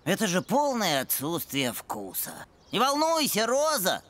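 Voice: medium pitch, huge pitch swings, unhurried, fairly bright tone. A performer voicing a bookish, stubborn know-it-all boy puppy in a cartoon, talking in a pedantic, condescending way. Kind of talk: animated cartoon voice acting.

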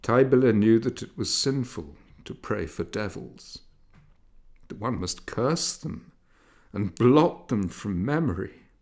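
A man narrating a literary story in a measured, dramatic storytelling manner. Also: fairly low pitch, expressive, darkish tone. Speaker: audiobook narrator.

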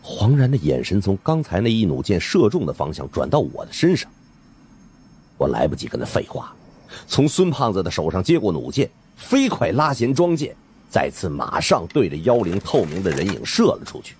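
A man's voice: low voice, wildly swooping pitch, moderate pace, a little mellow, breathy edge. A man giving dramatic scripted narration of supernatural suspense fiction as an audiobook narrator.

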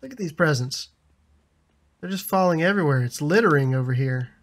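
A man in his thirties with a slightly raspy voice from a lingering cough, chatting casually over a building game and laughing often. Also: a little high, lively intonation, a little fast. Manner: chatty, laughs often, frequent ums and restarts. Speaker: gaming YouTuber. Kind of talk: casual gameplay commentary.

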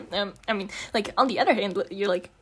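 A woman's voice: mid-range voice, expressive, moderate pace, a bit bright, breathy edge. A young non-native English-speaking woman in a casual, intimate girlfriend ASMR roleplay, talking in a slangy, teasing, coaxing way with giggles. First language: not English. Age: young adult.

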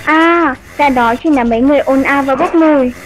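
A woman's voice: high-pitched, fairly quick, slight background noise, lightly acted. A woman voicing a naive, inquisitive little grandchild bat in a dubbed educational cartoon. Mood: curious, puzzled. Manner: childlike, inquisitive. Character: curious, naive young grandchild bat, small and cheerful.

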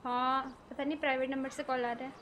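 A woman's voice: sits high, flat delivery, fast, a bit bright, slight background noise. A young woman chatting casually and teasingly on a game livestream from an indoor room, speaking into a close mic. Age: young adult. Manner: playful, teasing. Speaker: game streamer. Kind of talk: casual playful livestream chat while gaming.

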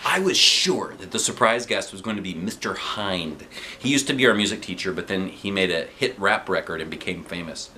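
A man's voice: low-pitched, a little fast, a little hiss or hum. A man in his thirties reading a children's book aloud to kids from his living room sofa, with playful asides. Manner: animated, playful character voices. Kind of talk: expressive read-aloud with asides.

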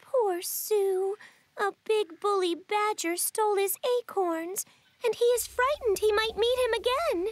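A woman voicing a small, sweet young animal in a children's cartoon, with an earnest, gentle, childlike manner. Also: very high-pitched, a little slow, no echo. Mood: concerned.

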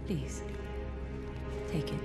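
An actress voicing a grave, guilt-ridden mother who runs a manor, speaking in measured, solemn, formal lines. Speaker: game character. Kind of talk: video game cutscene acting. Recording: roomy echo. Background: game music.

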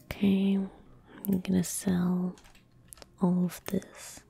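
A young woman whispering gently and breathily in a soft-spoken ASMR style as she comments on a game she plays. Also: on the low side, monotone, gravelly. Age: young adult. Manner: gentle, unhurried, murmured asides to self. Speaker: ASMR gaming streamer. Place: indoor room at a computer. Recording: faint background noise, game ambience. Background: soft game ambience, faint noise.

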